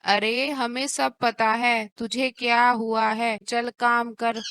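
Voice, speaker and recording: A woman as a harsh, bright-voiced mother-in-law. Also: fairly high pitch, little pitch movement, slow pace, clear, resonant tone, no echo. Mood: angry, scolding. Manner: sharp, sarcastic, bossy. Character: harsh, scolding middle-aged Indian mother-in-law. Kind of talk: cartoon moral story dialogue.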